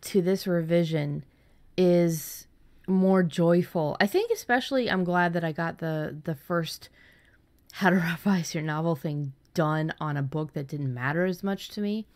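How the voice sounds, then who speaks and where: A woman's voice: lowish voice, a little slow, darkish tone. A woman hosting a casual writing podcast, talking candidly and enthusiastically, with some casual swearing. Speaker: podcast host and fiction writer.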